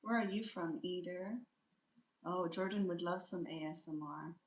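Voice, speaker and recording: A woman's voice: fairly low pitch, moderate pace, reverberant. A woman hosting a casual livestream, greeting viewers by name and humming little sing-song tunes between remarks. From South Africa. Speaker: livestream host.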